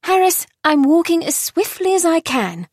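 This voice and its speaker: A woman's voice: very high voice, big pitch swings, normal speed, no room sound, clean studio sound, pitched above the performer's own voice, exaggerated voice. Woman doing a sulky young princess. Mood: petulant. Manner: petulant, glum.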